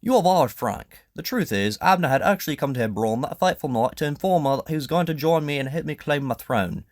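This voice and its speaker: A man's voice: fairly high pitch, lively intonation, quick pace, no room sound, clean studio sound, voice pushed up, natural voice. A man acting a proud, earnest king in an audio drama, speaking formally and emphatically with indignation and pleading. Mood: frank, earnest.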